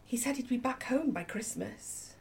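A woman's voice: on the high side, animated, normal speed, gravelly, a little mellow, breathy edge, heavily acted. A woman playing a worried wartime mother in a radio play, speaking plainly and with concern. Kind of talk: amateur radio play acting.

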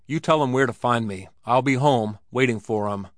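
Casting: slight, cool-headed card player for a storybook western, read by a male narrator, calm, patronising, medium pitch. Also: moderate pace, no echo, clean studio sound, lightly acted. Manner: calm, curt, condescending. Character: small slim gambler, coolly patronising, self-possessed. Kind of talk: dramatized audiobook character.

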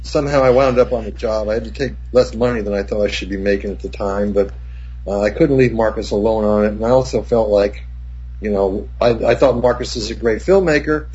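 An older man, a cinematographer, telling rambling, profane set stories with many restarts, in a husky voice. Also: fairly low pitch, a little fast, mellow, a little hiss or hum. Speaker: cinematographer guest. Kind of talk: casual anecdote. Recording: dull lo-fi recording.

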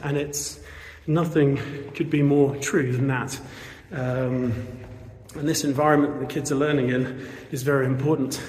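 A man's voice: mid-range voice, flat delivery, normal speed, a little mellow. A man giving an earnest, casual walkthrough of a school building he is fundraising for, with lots of fillers, recorded on a handheld camera indoors. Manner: chatty, earnest, many fillers. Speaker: volunteer fundraiser showing a school. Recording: echoey hall, long reverb.

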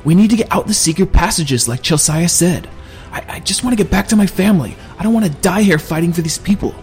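Casting: man putting on a scared young adventurer, voice pushed high, stammering, loud. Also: fast, faint music behind, close to an everyday voice. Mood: pleading. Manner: pitched up and strained, stammering. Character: frightened young male adventurer, pleading.

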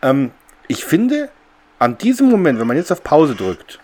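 A man's voice: fairly high pitch, wildly swooping pitch, unhurried, darkish tone. A man co-hosting a podcast, chatting casually and thinking aloud as he recaps step by step. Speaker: podcast co-host. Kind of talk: casual chat about a radio play.

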